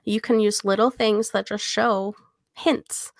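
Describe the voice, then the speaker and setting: A woman with a mid-pitched, creaky voice chats about writing as a podcast co-host. She explains her points in an informal, conversational way. The recording is very dry and free of room sound. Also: fairly even intonation, a little slow, dry recording. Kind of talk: casual literary discussion.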